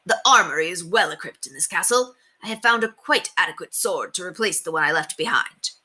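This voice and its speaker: Lady doing a tiny proud knight from a storybook, pompous and formal, bright lively delivery. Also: on the low side, fairly quick, slightly rough, exaggerated voice. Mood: brisk, admonishing. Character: proud, confident tiny knight, adult man.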